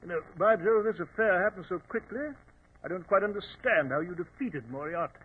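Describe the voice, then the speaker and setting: High, husky gentleman sidekick, radio. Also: a little fast. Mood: curious, puzzled. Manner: earnest, questioning, gentlemanly. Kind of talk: 1940s radio acting. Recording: tinny old radio recording.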